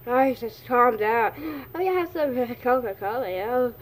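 A woman's voice: fairly high pitch, expressive, measured pace, slight background noise. A woman playing a brash, playful polar bear in an amateur cartoon skit, blunt and teasing.

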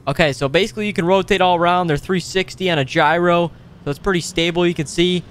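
A man hosting a gaming video with casual, playful roleplay commentary, excitable and given to exclaiming. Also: high voice, fast talker, smooth. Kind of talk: casual improvised roleplay commentary over gameplay.

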